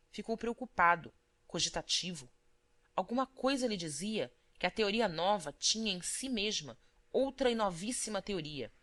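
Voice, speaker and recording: A woman reading a literary audiobook as narrator, in a formal, expressive manner. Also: mid-range voice.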